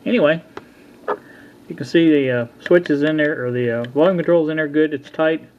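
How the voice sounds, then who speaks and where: A middle-aged or older man casually talking through a hands-on repair at a workbench, in a halting, folksy, unhurried way. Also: fairly high pitch, a little mellow. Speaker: hobbyist radio repair vlogger. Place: indoor workbench. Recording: dull lo-fi sound with background noise.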